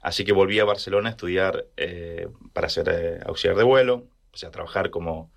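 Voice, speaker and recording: A man from rural Argentina with an Argentine accent, telling personal stories casually and haltingly as he searches for words. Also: low-pitched, gravelly. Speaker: interview guest.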